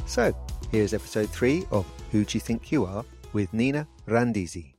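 A man hosting a podcast, asking questions in a relaxed, conversational way with light joking asides. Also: lowish voice, normal speed, faint music behind. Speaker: podcast host. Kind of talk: conversational interview.

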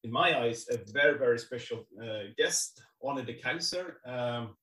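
A man's voice: medium pitch, measured pace, sharp tone. A male podcast host asking questions hesitantly, with many fillers and repeated words. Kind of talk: casual interview hosting. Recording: echoey room, far from the mic.

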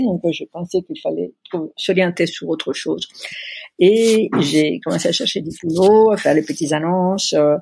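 A woman's voice: low voice, creaky, a little mellow, slightly rough, dry recording. A middle-aged woman from Italy, speaking with an Italian accent in a podcast interview, hesitant and often searching for words. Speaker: interview guest.

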